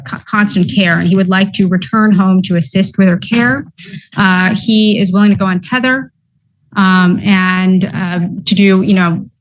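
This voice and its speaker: Hesitant female defense attorney, mid-pitched. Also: poor audio. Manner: hesitant, apologetic. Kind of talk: courtroom argument. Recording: faint background noise.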